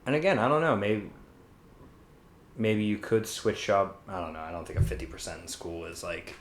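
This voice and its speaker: Low voiced guy on a podcast, casual opinions, pretty informal, echoey room sound. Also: normal speed, slight background noise. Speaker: podcast co-host.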